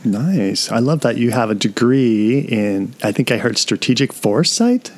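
A man's voice: mid-range voice, big pitch swings, a little slow, darkish tone. A man hosting an interview, moving between a scripted introduction and questions for his guest. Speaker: interviewer and host.

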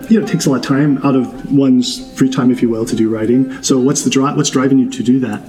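A podcast host introducing his guest in a measured, deliberate way and asking questions. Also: medium pitch, fairly even intonation, warm tone, faint music behind, rough recording. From Montana. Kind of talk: interview hosting.

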